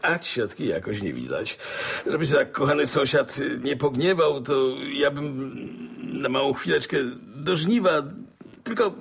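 An actor playing a folksy, rambling older country squire in a radio drama, stammering through verbal tics with a gravelly, husky voice. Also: male voice, medium pitch, big pitch swings, unhurried, full character voice. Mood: jovial, flustered. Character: chatty, folksy older country squire, proud father and husband.

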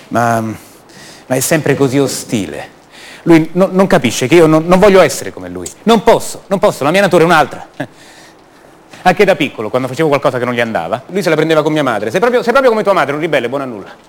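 An actor playing a passionate, rebellious young poet from a rich family in a radio theatre monologue, confiding and halting, repeating his words. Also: mid-range voice, close to an everyday voice.

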